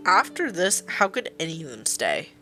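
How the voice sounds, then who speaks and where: A woman's voice: deep voice, wildly swooping pitch, normal speed, sharp tone, faint music behind. A woman game streamer giving casual, blunt, colloquial live reactions.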